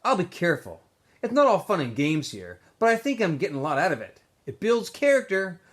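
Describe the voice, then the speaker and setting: A man's voice: high-pitched, wildly swooping pitch, fairly quick, pitched above the performer's own voice. A man voicing a weary, guarded teenage boy in a storybook reading, informal and curt. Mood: earnest, reassuring.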